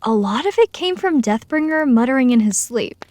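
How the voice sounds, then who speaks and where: A woman's voice: a little high, animated, normal speed, darkish tone, no room sound, close to an everyday voice. A woman voicing an exasperated, ranting young cartoon character.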